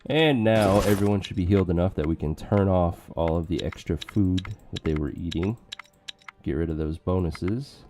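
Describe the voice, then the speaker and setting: A man casually commentating a strategy game with joking asides, his voice going hoarse. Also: deep voice, moderate pace, mellow, soft background music. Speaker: let's play commentator.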